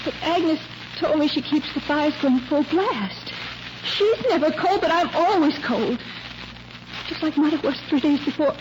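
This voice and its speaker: Fragile young woman, high-pitched, anguished, halting and pleading; vintage radio drama on a noisy old recording. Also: highs cut off. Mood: fearful, haunted. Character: frightened, fragile young woman fearing madness. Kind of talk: 1940s radio drama acting.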